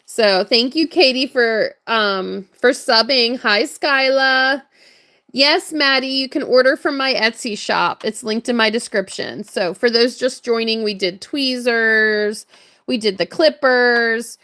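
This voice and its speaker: Chatty lady on a craft livestream, high voice, kinda scratchy from talking all day, laughs a lot. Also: takes it slowly, sharp tone. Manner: chatty, upbeat, laughs often. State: hoarse, voice strained from hours of talking. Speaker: livestream crafter and shop owner. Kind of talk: casual livestream chat greeting viewers.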